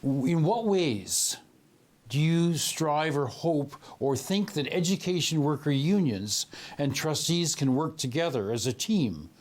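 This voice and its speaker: A man hosting a local election interview, asking questions in a measured, formal way. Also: fairly high pitch, expressive, a little mellow. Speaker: host. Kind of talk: broadcast debate interview.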